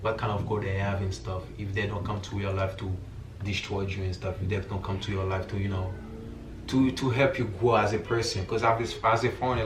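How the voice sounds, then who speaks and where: A young man with a Haitian accent speaks in a fairly low voice, casual and earnest, like a vlogger addressing his viewers. His phrasing is informal and slangy. The sound is clearly roomy and echoey, picked up some distance from the mic, with faint noise behind it. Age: young adult. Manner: informal, slangy, repeats filler phrases like "you feel me".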